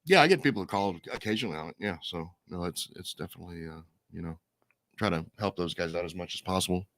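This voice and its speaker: Fast-talking, laid-back man co-host. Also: low voice, a bit bright, no room sound. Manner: brisk, laid-back, laughs often. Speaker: co-host, racing engine advisor. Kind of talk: casual chat.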